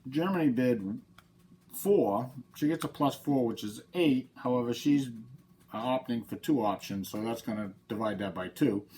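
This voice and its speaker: A man's voice: mid-range voice, a little mellow, slight background noise. A man with a head cold hosting his channel, talking casually through a board game playthrough and explaining the rules step by step. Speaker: channel host.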